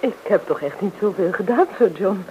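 An actress playing a modest, gentle married woman in a radio drama, self-effacing. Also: mid-range voice, animated, moderate pace, close to an everyday voice. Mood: modest, shy. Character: modest, gentle married female train passenger. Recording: dull, noisy old radio recording.

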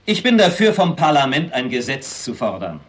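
An actor playing a hard-line cabinet minister in a 1960s radio drama, speaking formally and resolutely. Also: male voice, mid-range voice, big pitch swings, moderate pace, natural voice.

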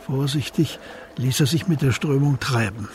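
Male radio drama narrator, mid-pitched. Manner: measured storytelling.

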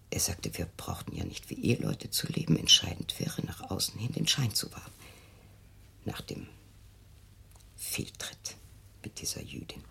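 Husky, low-voiced, controlling mother. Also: pushed and bright, exaggerated voice. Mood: bitter, subdued. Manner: measured, insistent, self-justifying. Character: controlling, defensive mother of a fearful grown daughter. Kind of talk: intimate German radio play acting.

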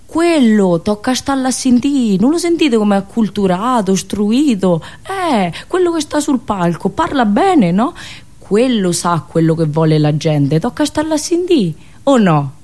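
A woman's voice: medium pitch, big pitch swings, measured pace, a little mellow. A woman voicing a simple, deferential country grandfather and craftsman in central Italian rural dialect, earnest and folksy. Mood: earnest, impressed.

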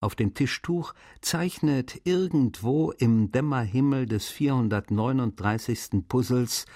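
An actor playing a male writer, reading in a measured, literary way for a radio feature. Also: medium pitch, a little mellow, no echo, studio quality. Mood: solemn, measured. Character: French male writer reading his friendly letters aloud.

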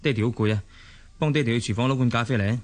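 A man's voice: medium pitch, racing, natural voice. An actor playing a tired father home from work, weary and offhand, with a Hong Kong Cantonese accent in radio drama style.

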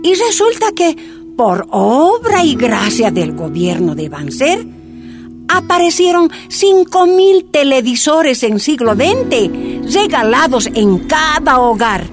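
An outspoken miner's wife gives earnest testimony in a radio drama. Her fairly high voice swings expressively, colloquial and emphatic, with music behind her. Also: sing-song, slow pace, heavily acted. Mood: earnest, wry.

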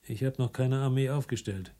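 Man acting tough detective guy, medium pitch, short blunt orders, defiant, radio play. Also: normal speed, dark tone, lightly acted. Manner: curt, commanding, unflinching. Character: tough, defiant male investigator confronting gangsters.

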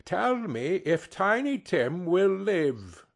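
A man voicing an old male miser who pleads in a high, strained voice. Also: wildly swooping pitch, slow pace, breathy edge, heavily acted. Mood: anxious.

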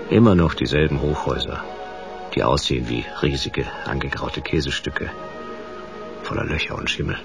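A weary, hard-boiled male sleuth in a noir radio play. His voice sits very low. He is dry and sardonic, in clipped phrases, with music clearly behind him. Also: full character voice. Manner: laconic, sardonic. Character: wry, world-weary hard-boiled male private detective. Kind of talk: noir radio play with first-person narration.

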